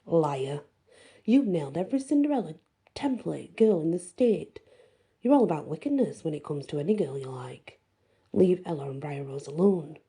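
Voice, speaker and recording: A woman's voice: low voice, expressive, normal speed, mellow, breathy edge, full character voice. A woman voicing a protective, hot-headed teenage male werewolf in a fantasy story, speaking in a stern, confrontational way. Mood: angry, protective. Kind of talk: first-person fantasy audiobook dialogue.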